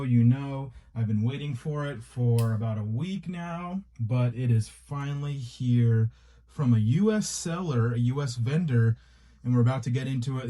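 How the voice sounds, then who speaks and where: Excited man with a dark, mellow voice. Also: medium pitch. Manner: excited, chatty, addresses viewers as guys. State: stuffy, recovering from illness. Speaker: toy reviewer. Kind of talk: casual unboxing review.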